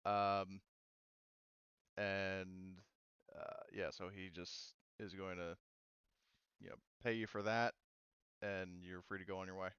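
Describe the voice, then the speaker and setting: A man running a tabletop game session as game master, explaining rules casually in a halting, easygoing way. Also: sits low, flat delivery, a bit bright, clear, resonant tone, no echo, dull audio.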